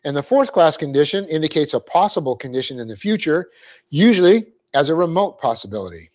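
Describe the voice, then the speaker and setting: Man, Bible class teacher, verse-by-verse lecture, explains Greek grammar, spells out words, clears throat, says "okay" a lot. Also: a little high, very animated, brisk, a little mellow.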